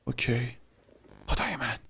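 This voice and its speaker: A man's voice: mid-range voice, lively intonation, vocal fry, pushed and bright, breathy edge. Casual man reacting to horror videos. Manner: informal, swearing, peppering Persian with English slang.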